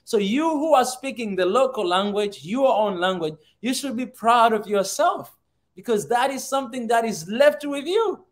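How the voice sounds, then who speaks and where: Tanzanian male host on a video call, Swahili native, high pitch, earnest and thoughtful. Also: lively intonation, moderate pace. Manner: earnest, repeats words while thinking. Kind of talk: casual conversation.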